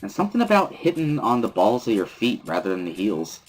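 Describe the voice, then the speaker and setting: A man's voice: lowish voice, wildly swooping pitch, a little fast, low-quality recording. A man giving a first-person scripted narration, reading a visual novel aloud as a dramatic reading. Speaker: reader of a dramatic reading series.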